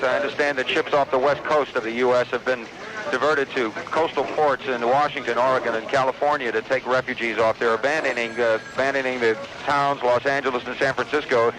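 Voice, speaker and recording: An actor playing a weary, anxious radio newsman in a studio during an invasion drama, speaking haltingly over a noisy old broadcast. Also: medium pitch, natural voice. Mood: grim. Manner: hesitant, halting delivery with repeated phrases. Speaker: news anchor. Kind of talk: radio drama newscast, ad-libbed sounding.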